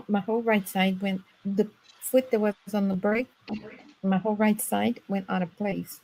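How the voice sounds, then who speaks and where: A woman's voice: medium pitch, a little mellow, poor audio. A woman from Brazil with a strong Brazilian Portuguese accent, a guest telling a personal story on a live stream who often stops and restarts while she searches for words.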